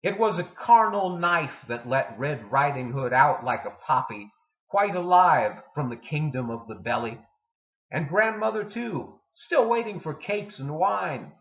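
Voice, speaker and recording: A man giving a dramatic poetry reading with deliberate, theatrical delivery and emphatic pauses. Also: on the high side, expressive. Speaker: poetry reader. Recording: dull lo-fi sound in echoey room.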